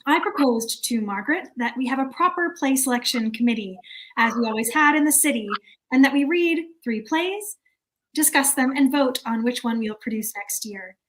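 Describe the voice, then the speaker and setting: A woman's voice: high-pitched, lively intonation, moderate pace, fairly bright tone, slight background noise, low-quality recording. A woman acting a prim, officious committee chairwoman with a formal, fussy manner, in an amateur play reading. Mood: businesslike.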